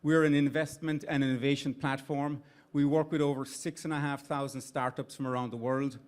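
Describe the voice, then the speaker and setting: A man's voice: mid-range voice, level tone, brisk. Middle-aged Irish man, live event speech, conversational, fillers and restarts, at a venue. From Ireland. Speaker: CEO of an investment firm giving opening remarks.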